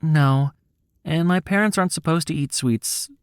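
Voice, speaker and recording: A man's voice: on the high side, moderate pace, clear, resonant tone, no echo, studio quality, pitched above the performer's own voice, close to an everyday voice. A man voicing a curious, earnest young boy in a storybook, with an innocent, frank, questioning manner.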